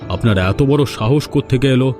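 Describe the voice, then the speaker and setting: A man's voice: mid-range voice, a little fast, darkish tone, close to an everyday voice. Haughty cartoon village boss played by a man: menacing, domineering bully, music underneath. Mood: threatening. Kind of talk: cartoon voice acting.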